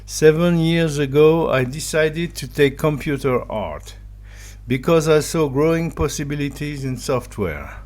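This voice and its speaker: Man, fifties, French accent, German first language, slow, somewhat high voice, reading a personal pitch. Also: animated, a little mellow. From France. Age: 50s. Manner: slow, simple sentences in a second language. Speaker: digital artist. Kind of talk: personal pitch, read aloud.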